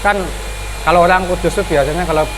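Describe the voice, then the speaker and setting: A man with a Javanese accent hosting a school podcast, chatty and quick to back up the guest with short replies. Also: high-pitched, fairly even intonation, noisy background. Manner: chatty, lots of backchannel "hmm" and "oke", mixes in Javanese words. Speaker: podcast host, MC. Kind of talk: casual podcast interview.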